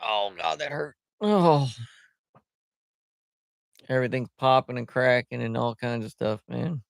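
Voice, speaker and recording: Smooth-voiced man chatting on a livestream. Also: mid-range voice, a little slow, no room sound, clean studio sound. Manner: rambling, loose and chatty, many fillers. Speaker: livestream host. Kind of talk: casual livestream chat. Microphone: headset.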